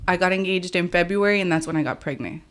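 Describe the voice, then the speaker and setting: A woman guests on a podcast and speaks in a somewhat low voice. She talks frankly and rambles, leaning on filler words. She is recorded on a studio microphone. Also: quick pace, fairly bright tone. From United States. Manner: rambling, heavy use of "like", frank. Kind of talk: casual podcast chat.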